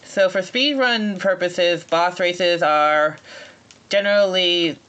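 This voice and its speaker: A man giving live speedrun commentary, explaining as he plays in a halting way, often rephrasing mid-sentence. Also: high-pitched, bright, forceful tone, smooth, lo-fi sound. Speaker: speedrunner playing at a marathon.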